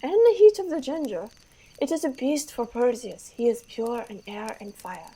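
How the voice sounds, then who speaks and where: A woman's voice: a little high, expressive, normal speed, dark tone, breathy edge, rough recording. A young woman acting a vain, horse-besotted young French prince in a Shakespeare radio play, grandiose and declamatory. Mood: boastful, rapturous.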